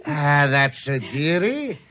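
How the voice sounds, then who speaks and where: Swooping high-voiced rowdy flirt. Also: male voice, sing-song, slow. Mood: teasing. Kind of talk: 1950s radio drama acting. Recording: dull lo-fi 1950s radio recording.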